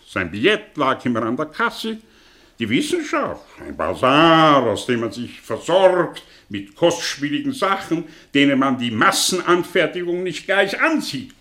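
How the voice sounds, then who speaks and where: Bitter professor, sweepingly theatrical delivery. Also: male voice, on the high side, bright, forceful tone, heavily acted. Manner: rhetorical, declamatory, sardonic, probing with questions. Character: bitter, cynical male professor, theatrical intellectual monologist. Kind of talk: German radio play acting.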